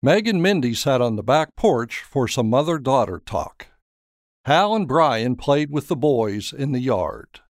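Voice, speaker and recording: A man narrating a scripted story in a measured, dramatic storytelling manner. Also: mid-range voice, sing-song, dry recording, studio quality. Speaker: author and narrator.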